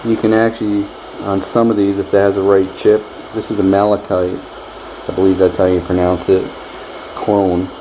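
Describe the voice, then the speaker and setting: A man's voice: low voice, level tone, normal speed, dark tone, rough recording. A man casually narrating his hands-on repairs in a wry, joking way. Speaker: host, DIY repair vlogger. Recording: clear background noise.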